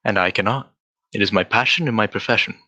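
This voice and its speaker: A man acting a cerebral, restrained gentleman detective in a radio drama, speaking formally and courteously. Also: fairly low pitch, fast, dry recording. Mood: reserved, wistful. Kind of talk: adaptation of a 1940s radio drama.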